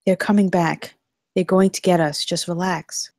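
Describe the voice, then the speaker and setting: A woman acting a shaken but caring flight attendant in a film table read, earnest and quietly persistent. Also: lowish voice, steady tone, normal speed, no room sound, studio quality, natural voice. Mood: reassuring.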